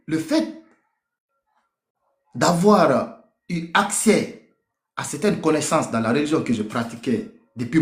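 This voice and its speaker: A man's voice: on the high side, darkish tone. A man from Africa speaking with emphasis in a group debate, giving impassioned personal testimony and repeating phrases for weight. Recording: echoey room.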